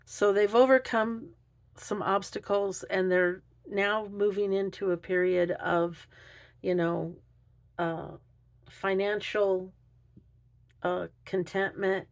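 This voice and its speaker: A woman doing an unscripted tarot reading, thinking aloud in a halting, musing way. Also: mid-range voice, gravelly. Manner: hesitant, halting delivery with musing pauses. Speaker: tarot reader.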